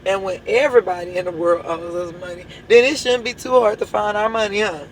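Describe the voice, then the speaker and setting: A hoarse woman, a church leader giving an impassioned address full of repeated rhetorical questions. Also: mid-range voice, a little fast, rough recording.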